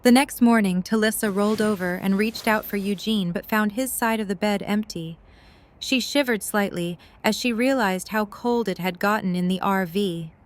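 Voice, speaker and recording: Mid-pitched woman narrating a story. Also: moderate pace.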